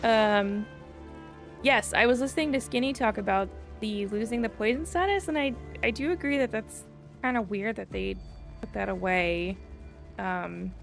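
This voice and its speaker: Woman, game livestream host, casual hesitant chatter, vocal fry, soft music in background. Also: mid-range voice, moderate pace. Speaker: game streamer. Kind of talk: casual live gameplay chat, reading viewer comments. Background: faint game music.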